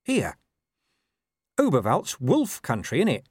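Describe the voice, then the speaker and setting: A man's voice: mid-range voice, huge pitch swings, takes it slowly, a little mellow, slightly rough, no room sound, studio quality, pitched above the performer's own voice, full character voice. A man voicing a scruffy, cynical talking dog with a working-class English accent and a grumbling, slangy manner. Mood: furtive.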